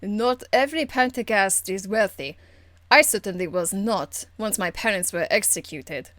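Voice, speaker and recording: A woman's voice: medium pitch, normal speed, a bit bright, dry recording, natural voice. A woman voicing a stern, proper female warrior in a video game, speaking formally with a dry, weary exasperation. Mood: solemn. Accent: foreign, European-tinged. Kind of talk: video game character acting.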